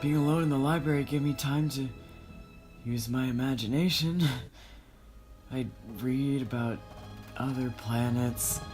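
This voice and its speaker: A man voicing a bashful, bookish male cyborg, gentle and wounded, confiding hesitantly in a visual novel game voiceover. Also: mid-range voice, measured pace, long pauses, soft background music, natural voice.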